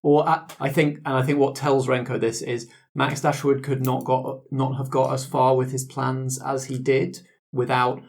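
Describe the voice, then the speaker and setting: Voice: medium pitch, level tone, moderate pace, few pauses. A game master talking casually, discussing a roleplay story and narrating bits of it.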